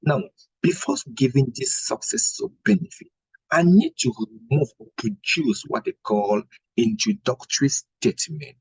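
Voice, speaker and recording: A man teaching an exam-prep lesson in a deliberate, didactic way, posing questions and answering them himself, with a husky, gravelly voice. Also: mid-range voice, big pitch swings, darkish tone, dry recording, low-quality recording. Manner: didactic, deliberate, checks with "right?" and rhetorical questions. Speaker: history teacher preparing exam candidates. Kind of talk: instructional exam-prep lecture.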